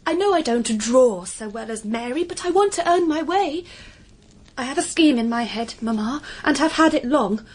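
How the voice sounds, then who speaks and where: A woman's voice: high-pitched, lively intonation, moderate pace. An actress playing an earnest, naive Victorian girl in an audio drama, with a pleading, emphatic way of talking. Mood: pleading, determined. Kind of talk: period audio drama acting.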